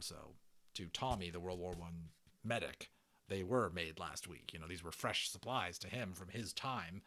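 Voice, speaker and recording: A man's voice: deep voice, fairly bright tone, slight background noise. A man narrating a children's audiobook as a dramatic reading, with casual explanatory asides.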